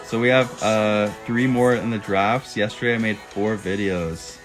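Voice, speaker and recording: A young man talks easily and playfully to viewers of his phone livestream, answering comments one by one. His voice sits a little low for a man. It is close to the phone's mic in a small room, with music playing faintly in the background. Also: steady tone, unhurried. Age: young adult. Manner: playful, bursts into high owl hoots. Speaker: livestream host. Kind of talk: casual livestream chat reading comments. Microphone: phone held close.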